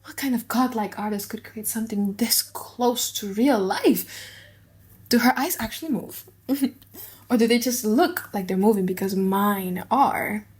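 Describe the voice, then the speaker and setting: Woman playing an earnest young male suitor, deliberate delivery. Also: on the high side, lightly acted. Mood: awed, smitten. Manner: deliberate, emphatic, pausing between short phrases. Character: earnest young male suitor musing gravely, then smitten. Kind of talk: dramatic audiobook reading in modern English.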